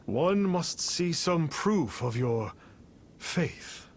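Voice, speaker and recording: A man's voice: a little high, animated, very slow, a little hiss or hum. A man voicing a pompous fake immortal sage in a video game, speaking in archaic, mysterious phrases. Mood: lofty. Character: pompous charlatan posing as a cryptic immortal sage.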